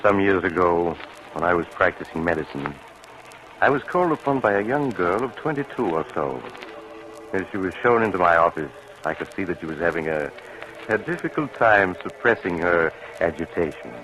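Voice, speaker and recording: An actor playing a grave, kindly doctor who tells eerie tales in a measured, formal, ominous way, in 1940s radio drama style. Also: male voice, low voice, lively intonation, a bit bright, soft background music. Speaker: narrator and doctor. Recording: noisy vintage radio recording.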